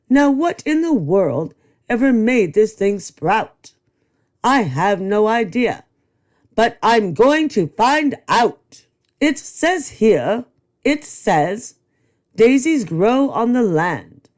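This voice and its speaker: A woman's voice: medium pitch, darkish tone, pitched above the performer's own voice. A woman voicing a fussy, bossy male school principal in a read-aloud storybook, declaiming in rhyme.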